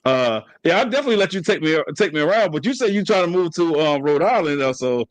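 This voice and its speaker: A man's voice: fast talker, crowd noise. A middle-aged man from New Jersey with an American accent that carries a Southern tinge, hosting a casual interview and rambling with many restarts. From New Jersey, USA. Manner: rambling, restarts a lot, animated pitch jumps. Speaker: podcast host.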